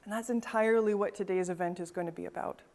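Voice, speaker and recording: A woman with a Canadian accent, from Northern Canada, delivers a scripted keynote as the event's host. She tells a story in a measured way, and a few words stumble and repeat. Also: medium pitch, fairly even intonation, darkish tone. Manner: storytelling, uses forest imagery, occasional stammered repeats.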